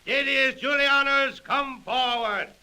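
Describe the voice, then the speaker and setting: An actor playing a grandiose, goading Roman Praetorian officer running an auction in declamatory 1930s radio drama style. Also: male voice, very high-pitched, steady tone, slow, sharp tone, heavily acted. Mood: triumphant cry. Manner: declamatory, theatrical oratory. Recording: old 1930s radio recording.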